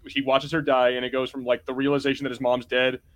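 A man with a mid-pitched voice talks as a podcast panelist. He chats casually like a keen fan, working through his points with plenty of "like.". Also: completely flat delivery, fast talker, a bit bright. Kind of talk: casual film watch-party chat.

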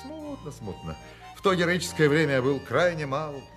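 An actor playing a brazen young swindler in a Russian radio play, suave, ingratiating and mock-dignified. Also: male voice, fairly high pitch, wildly swooping pitch, normal speed, fairly bright tone, faint music behind. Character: smooth, brazen young male swindler posing as hero's son.

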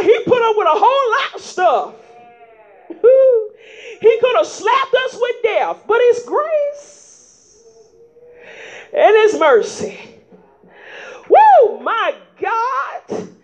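A woman preacher with a strikingly high voice delivers a sermon in plain folksy speech. She takes her time and keeps prodding the congregation with calls of "huh?" as she rises into shouts. The recording is dull and lo-fi. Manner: emphatic call-and-response preaching with frequent "huh?" prompts; folksy vernacular, rising to shouts.

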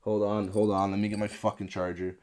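Deep-voiced man with a stuffy nose. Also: a little fast. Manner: swears constantly, rambling and excitable. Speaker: podcast host. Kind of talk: casual solo rant. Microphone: desk microphone at a laptop.

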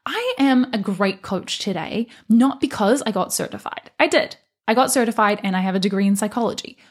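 A young woman hosts her own coaching podcast, speaking earnestly and emphatically to encourage her listeners. Her voice is breathy with a slight husk and sits in a middle pitch. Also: animated, brisk. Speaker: podcast host and life coach. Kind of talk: solo motivational podcast talk.

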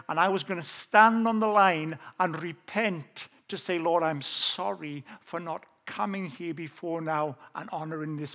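Welsh male preacher, high voice, earnest testimony delivery, lingers on key lines. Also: fairly bright tone. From South Wales. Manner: earnest storytelling, slowing down on key phrases. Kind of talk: testimony sermon.

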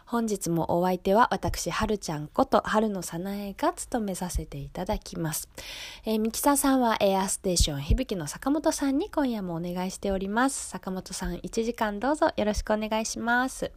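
A woman's voice: medium pitch, lively intonation, moderate pace, no room sound. A young woman with a slightly stuffed-up, nasal voice, chatting politely and casually as the host of a radio show. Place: radio studio.

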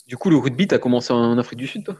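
A man interviewing a guest, asking quick, casual follow-up questions. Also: medium pitch, expressive, a little mellow, husky.

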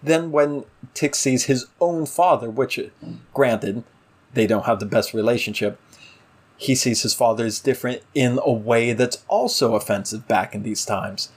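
A man's voice: medium pitch, unhurried, breathy edge. Earnest man in analytical podcast discussion. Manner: earnest, emphatic, builds points by repetition. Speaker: podcast co-host.

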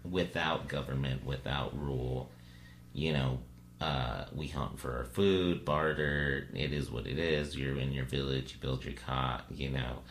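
A man's voice: steady tone, unhurried. A man co-hosting a casual podcast discussion, musing aloud and often checking in with little tag questions. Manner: musing, tentative, often checking with "right?" and "you know". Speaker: podcast co-host. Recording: roomy echo, low hum and hiss.

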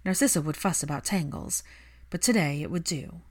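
Female audiobook narrator for fan fiction, slightly low in pitch, scripted prose reading. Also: normal speed.

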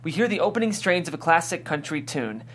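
A narrator reads a screenplay's stage directions aloud at a table read. The voice is of middling pitch, with scripted delivery of scene headings and action. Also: sing-song, fast, fairly bright tone.

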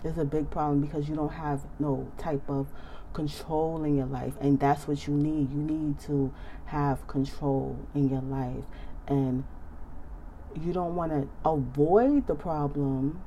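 An American woman, slightly hoarse, giving casual motivational advice in an emphatic, conversational way with repeated check-in phrases. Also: deep voice, steady tone, measured pace, dark tone, slight background noise, low-quality recording. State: slightly hoarse, clearing throat. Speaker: advice speaker.

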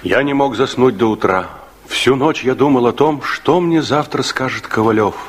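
An actor playing a man who recalls his uneasy youth, narrating a 1960s radio play in a measured, confessional way. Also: medium pitch, natural voice. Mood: pensive.

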